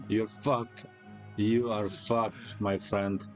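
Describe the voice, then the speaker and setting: Low-voiced man rambling through reaction commentary. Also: very slow, faint music behind. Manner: hesitant, rambling, repeats words. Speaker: reaction video host.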